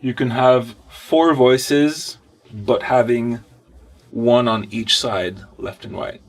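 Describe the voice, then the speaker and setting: A man's voice: medium pitch, fairly even intonation, slow pace. A man in his thirties giving a casual technical walkthrough of synthesizers while playing them in a recording studio. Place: wood-paneled recording studio, indoors.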